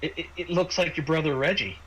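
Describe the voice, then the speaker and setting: A man running a tabletop game as game master, narrating off the cuff in a halting way with repeated words. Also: fairly high pitch, a bit bright, slightly rough. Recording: noisy home microphone.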